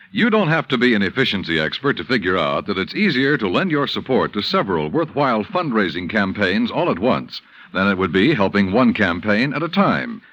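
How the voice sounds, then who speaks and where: A man's voice: fairly low pitch, a bit bright, no room sound. A man announcing in a polished, formal 1950s radio style. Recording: dull old radio broadcast with music.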